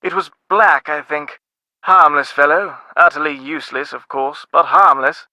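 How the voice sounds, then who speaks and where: Game role: snobbish British upper-class dad, middle-aged, sardonic, highish sharp voice, very close dry sound. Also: male voice, steady tone, moderate pace, no room sound. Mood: brisk, polite. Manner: pompous, wordy, dryly sardonic. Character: snobbish, dismissive middle-aged English father of aristocratic family. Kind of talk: scripted video game dialogue.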